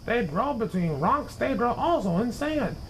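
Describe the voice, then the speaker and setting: A learned, self-important school principal as a male storyteller puts him on from a children's storybook. He declaims with wide swings of pitch over faint noise. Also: very animated, a little slow, exaggerated voice, low-quality recording. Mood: lecturing. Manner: declamatory, pompous.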